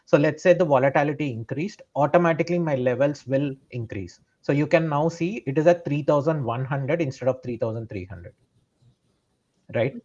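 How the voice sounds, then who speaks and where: A man's voice: on the high side, normal speed, a little mellow. Man, muffled lo-fi audio. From India. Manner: explanatory, checks in with "right?". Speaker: trader. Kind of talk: live trading session explanation.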